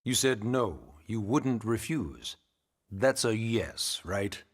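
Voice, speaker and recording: A man playing a wry, formal, gently pushy government secretary in a video game cutscene, courteous and smug. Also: fairly low pitch, lively intonation, slow pace. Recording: loud music behind the voice.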